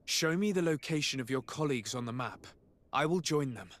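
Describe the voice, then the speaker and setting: A man's voice: fairly high pitch, lively intonation, a little fast, lightly acted. A man playing a courteous, composed noble envoy in video game cutscene dialogue. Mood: composed, reassuring.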